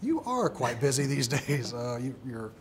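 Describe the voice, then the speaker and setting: A man's voice: mid-range voice, very animated, slight background noise. A man with a mid-range voice conducting a film press interview. He reads out his prepared and user-submitted questions, stumbling a little over his words as he goes. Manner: reads out questions, slightly halting.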